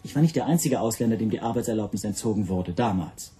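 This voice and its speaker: An actor playing an earnest, anxious Hungarian language teacher who explains himself formally and carefully in a radio drama. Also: male voice, lowish voice, lively intonation, quick pace, dark tone, faint music behind, lightly acted. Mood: earnest, defensive.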